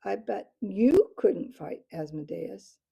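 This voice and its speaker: Lady doing a plucky little mouse guy, defiant, husky dark voice. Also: fairly high pitch, very animated, unhurried, pitched above the performer's own voice, full character voice, rough recording. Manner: earnest, defiant, shouting challenges. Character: bold, stubborn young male mouse hero.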